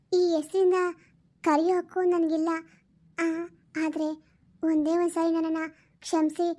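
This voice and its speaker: A woman's voice: very high voice, dark tone. A woman voicing a timid, apologetic orphaned young girl who pleads humbly and hesitantly in a cartoon family drama. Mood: humble pleading. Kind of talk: dramatic cartoon story dialogue.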